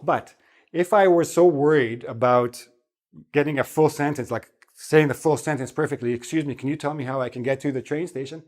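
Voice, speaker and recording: A man giving a spoken English lesson in a relaxed, chatty way, often checking in with the listener. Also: a little high, brisk. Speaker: English teacher.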